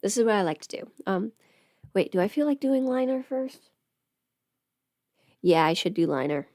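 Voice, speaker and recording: A woman talks in a casual, chatty livestream style, rambling and thinking aloud in a playful way. Her voice sits at a medium pitch and is recorded close and dry. She is a little under the weather. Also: lively intonation, normal speed, a little mellow, dry recording. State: sick with a cold or illness. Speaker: livestream host and audio performer. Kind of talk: casual makeup livestream chat.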